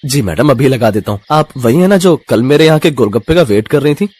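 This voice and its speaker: An actor playing an obliging, salesmanlike street-snack seller in a Hindi cartoon story. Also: male voice, medium pitch, lively intonation, a little fast, darkish tone, close to an everyday voice. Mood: friendly.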